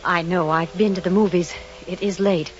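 An actress playing a headstrong, sensible woman who insists she is not afraid, firm and brisk, in a vintage radio drama. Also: fairly low pitch, steady tone, close to an everyday voice. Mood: uneasy bravado. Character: headstrong, sensible unmarried woman, stubbornly unafraid. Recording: muffled old radio recording with hiss.

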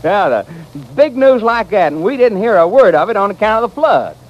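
A man's voice: high-pitched, expressive, fairly quick. An actor playing a cheerful, gossipy stagecoach man in a 1950s radio western, with a rustic, chatty American voice. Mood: amused gossip. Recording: muffled, noisy old radio recording.

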